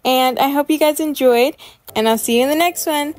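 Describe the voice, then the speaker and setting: Gushing, high-voiced young-sounding woman reacting. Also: a little fast. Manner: gushing, excitable, repeats words for emphasis. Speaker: host of a reaction video series. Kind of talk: casual chatty reaction commentary.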